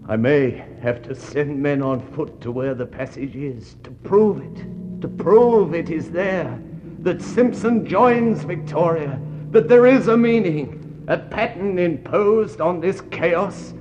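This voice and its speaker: An actor playing a grave, desperate leader of a doomed Victorian polar expedition, halting and brooding in a 1960s radio play. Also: male voice, a little high. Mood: anguished, desperate. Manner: halting, repetitive, brooding delivery. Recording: muffled, noisy 1960s radio recording.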